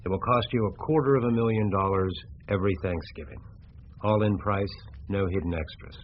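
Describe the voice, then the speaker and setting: Male actor as smooth-dealing city man, radio drama. Low pitch, measured, confident; slightly dull recording. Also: a little hiss or hum, lightly acted. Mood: cool, businesslike. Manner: measured, assured, clipped. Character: cool, confident city-bred male deal-maker, younger.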